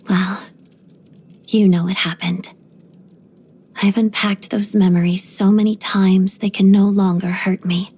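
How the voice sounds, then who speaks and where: A young woman ballerina from a video game, with a soft, breathy voice that often thins toward a whisper. She speaks in a composed, measured way, polite but guarded. Beneath the discipline sits a proud, quietly haunted temper. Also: mid-range voice. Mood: somber, resolute. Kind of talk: video game character voice lines.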